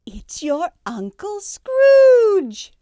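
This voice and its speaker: A man reading aloud and voicing a plump, excited young sister who cries out her answers in squealing, drawn-out exclamations. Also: very high voice, dry recording, pitched above the performer's own voice, exaggerated voice. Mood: gleeful. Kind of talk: audiobook character voice.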